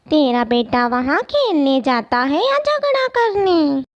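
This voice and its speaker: A woman's voice: high voice, big pitch swings, slow, lo-fi sound, exaggerated voice. A woman voicing an angry, vengeful mother crow in a children's cartoon story, scolding and accusing. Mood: indignant, accusing.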